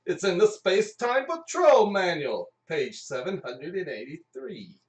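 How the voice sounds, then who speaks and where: A man's voice: sits high, sing-song, unhurried, far from the mic, voice pushed up. Man doing a melodramatic, echoey space captain. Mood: urgent. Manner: melodramatic, emphatic. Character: bombastic space patrol captain, man, fighting zombies. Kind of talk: live radio play acting. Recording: echoey room, off the mic.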